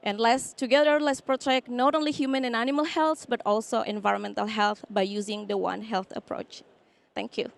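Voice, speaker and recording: A woman pitching her company on stage, explaining steadily with small fillers. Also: fairly even intonation, fairly quick, creaky. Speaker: startup founder pitching. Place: outdoor stage.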